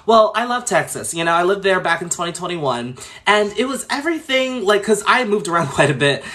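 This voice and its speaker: A man with a fairly high, bright and forceful voice hosts a casual livestream. He is from Long Island, New York, and talks in a chatty, gushing way. He moves between reading comments and answering them with plenty of expression. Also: very animated. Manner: fast talker. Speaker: livestream host.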